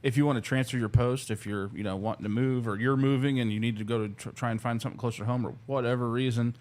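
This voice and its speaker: A man's voice: mid-range voice, fairly even intonation, fast talker, a little mellow. A man co-hosting an unscripted workplace talk show in an informal, conversational way. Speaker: co-host, security company staff.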